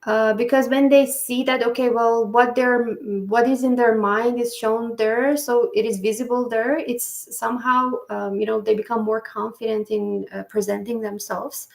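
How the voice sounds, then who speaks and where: A woman hosting a podcast interview, speaking in halting phrases full of fillers. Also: on the high side, flat delivery, gravelly. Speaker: podcast host. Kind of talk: interview conversation. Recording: echoey room.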